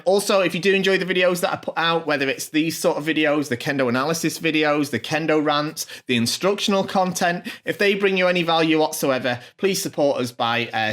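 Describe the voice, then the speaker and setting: A man hosting his own channel, translating a video message in an informal, off-the-cuff way, with many pauses, ums and restarts. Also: on the high side, fairly bright tone. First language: not Japanese.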